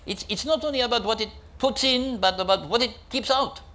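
A man's voice: extremely high pitch, bright, forceful tone, a little hiss or hum, pitched above the performer's own voice. A man voicing a kindly, hesitant young male editor who stammers and halts. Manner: halting, stammering.

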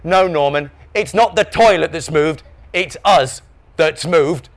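A man voicing an exasperated, incredulous dad from a children's storybook, loud and indignant. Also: medium pitch, huge pitch swings, normal speed. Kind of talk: children's storybook character reading.

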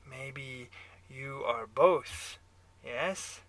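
A man playing a drunken, sneering German man in a bar, with a German accent and halting, taunting questions in a story reading. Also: medium pitch, a bit bright, no room sound. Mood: menacing. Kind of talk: dramatised character dialogue in a story reading.

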